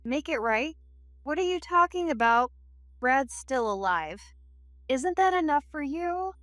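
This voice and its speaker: High-voiced defiant scheming wife, played by a woman. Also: animated, a little slow, fairly bright tone, dry recording, studio quality. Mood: cold, dismissive. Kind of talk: scripted dramatic story dialogue.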